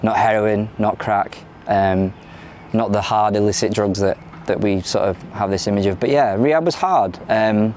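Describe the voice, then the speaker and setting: A man in his thirties with a London English accent talks candidly in an interview, his voice sitting fairly low. He speaks plainly and openly, pausing and restarting often as he gathers his thoughts. A faint hum of noise sits behind him. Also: darkish tone. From Ireland. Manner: frank and plain-spoken, with frequent hesitations and restarts. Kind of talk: candid personal testimony.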